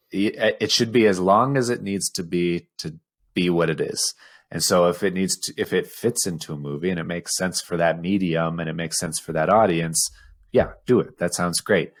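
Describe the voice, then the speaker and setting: Male podcast co-host with a low-pitched voice, conversational and opinionated. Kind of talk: casual film discussion.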